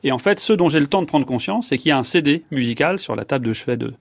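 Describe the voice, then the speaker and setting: A man's voice: fairly high pitch, expressive, fast, gravelly, dry recording, studio quality. A man in his fifties, a neurologist guest, giving long reflective answers with many fillers and restarts. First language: French.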